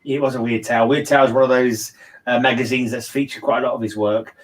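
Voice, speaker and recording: A man's voice: medium pitch, steady tone, fast, far from the mic. Man chatting in rambling fashion. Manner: rambling, repeats phrases. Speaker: host of a livestream read-along channel. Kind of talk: casual book discussion.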